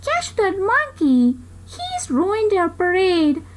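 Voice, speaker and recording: A woman's voice: extremely high pitch, wildly swooping pitch, takes it slowly, voice pushed up, heavily acted, low-quality recording. A woman voicing a fussy, outraged male band leader in a children's storybook, crying out indignantly. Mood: angry, yelling.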